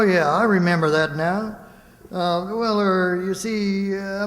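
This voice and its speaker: A high-pitched, bumbling small-town lawyer acted by a man in an old-time radio comedy, recorded in a reverberant hall. He is shifty and tongue-tangled, stammering and rambling through muddled words. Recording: echoey hall with long reverb.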